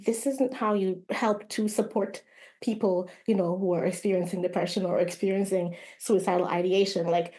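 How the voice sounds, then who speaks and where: Expressive woman telling a personal story. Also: medium pitch. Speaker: guest playwright.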